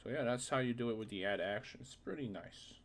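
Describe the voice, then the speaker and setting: A man's voice: mid-range voice, fast talker, fairly bright tone. A man presenting a casual screen-recorded game modding tutorial, informally thinking aloud with some hesitant repetition. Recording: faint noise, keyboard clicks.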